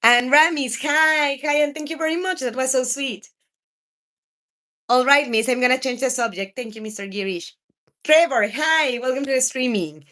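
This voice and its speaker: A woman in her thirties speaks in a somewhat high-pitched voice with a Mexican accent. A native Spanish speaker, she hosts a video game livestream. She talks casually, answering her viewers as she goes. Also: moderate pace, bright, forceful tone. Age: 30s. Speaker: video game live streamer.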